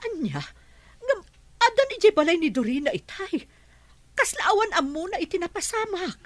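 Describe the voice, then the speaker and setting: A woman's voice: very high-pitched, sing-song, moderate pace, no room sound. A woman playing a caring, worried relative in a radio drama, speaking earnestly. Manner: concerned, earnest.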